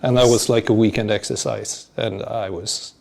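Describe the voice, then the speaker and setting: A man from Sweden, a programmer talking as a guest in a casual interview at a conference, halting with many pauses and small chuckles. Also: on the low side, steady tone, vocal fry, darkish tone. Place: conference venue.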